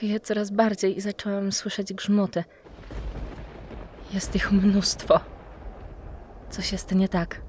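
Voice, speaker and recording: A woman's voice: mid-range voice, little pitch movement, slow pace, long pauses, darkish tone, a little hiss or hum, close to an everyday voice. A woman acting as a loving, worried mother voicing her diary in an audio drama. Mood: growing worry.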